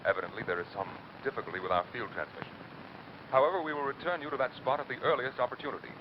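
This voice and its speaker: Male actor as formal vintage radio announcer, unruffled, quick delivery, medium pitch, dull broadcast sound. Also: close to an everyday voice. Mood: composed. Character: composed, formal 1930s radio studio announcer. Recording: muffled, faintly noisy radio broadcast.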